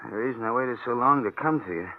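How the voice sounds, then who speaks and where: An actor playing a restless, haunted gangster on the run in a 1950s radio drama, halting and repeating his words. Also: medium pitch, close to an everyday voice. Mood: troubled, brooding. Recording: muffled old radio recording, faint noise.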